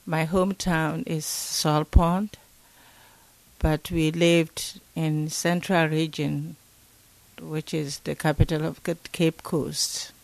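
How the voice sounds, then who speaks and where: Older woman from Ghana, interview guest, slow and gravelly, dry close sound. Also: low voice, flat delivery, no room sound, low-quality recording. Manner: slow, thoughtful, many pauses and fillers. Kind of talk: interview, recalling childhood.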